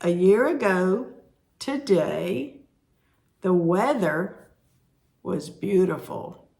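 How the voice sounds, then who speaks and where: A woman teaching sign language, explaining each sign slowly and repeating the words as she demonstrates. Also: fairly low pitch, lively intonation, gravelly, darkish tone. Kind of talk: slow instructional explanation.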